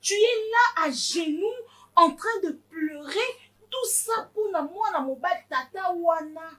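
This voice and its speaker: The very high-pitched, wounded Congolese mother an actress plays in a family melodrama, scolding her child over and over in the front room. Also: lively intonation, measured pace. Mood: anguished scolding. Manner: scolding, repeats herself insistently. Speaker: actress in a film drama. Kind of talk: improvised-sounding melodrama, switching between French and Lingala.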